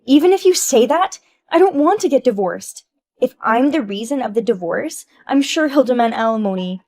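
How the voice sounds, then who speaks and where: A woman voicing Emma, an entitled young wife who dodges blame, in a shrill, whiny and indignant voice. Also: lively intonation, measured pace, darkish tone, dry recording. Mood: indignant whining.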